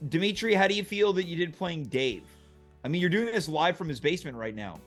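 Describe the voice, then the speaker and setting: Male host, notably high voice, casual comedic panel talk with faint music. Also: sing-song, brisk. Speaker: show host.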